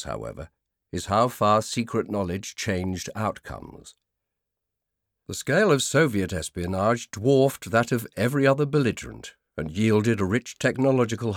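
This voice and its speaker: Low-pitched male audiobook narrator. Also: no echo, studio quality. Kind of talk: scripted nonfiction book narration.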